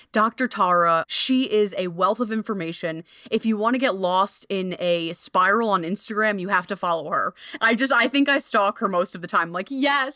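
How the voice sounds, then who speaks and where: A woman's voice: mid-range voice, a bit bright, no echo, studio quality. A woman in her thirties hosting a podcast, talking in a bubbly, gushing way full of casual slang. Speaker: podcast host.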